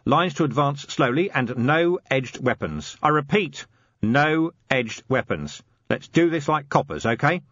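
A man voicing a weary, gruff police commander in an audiobook, terse, dry-humoured and commanding. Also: a little high, animated, measured pace, fairly bright tone, no echo, dull audio, voice pushed up.

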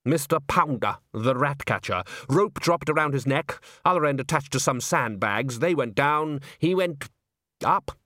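A man voicing a calm, dryly sardonic opera music director in an audiobook, with a measured, patient, deadpan manner. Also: medium pitch, dry recording, clean studio sound, voice pushed up. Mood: patiently sardonic.

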